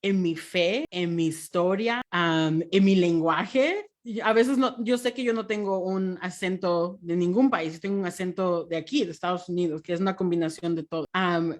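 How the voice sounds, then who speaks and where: A young woman from Peru, a podcast guest in a casual interview, who laughs often and fills pauses with soft "am"s. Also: lowish voice.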